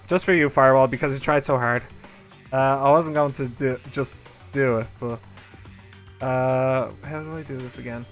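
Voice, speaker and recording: A man's voice: mid-range voice, fairly bright tone. A man streaming a game, chatting casually and rambling as he talks to his viewers. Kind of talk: casual let's play commentary. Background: game music.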